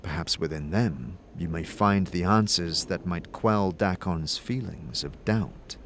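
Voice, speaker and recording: A man reading scripted, dramatic fantasy narration in a measured, solemn storytelling manner. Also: low voice, a bit bright, a little hiss or hum. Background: music.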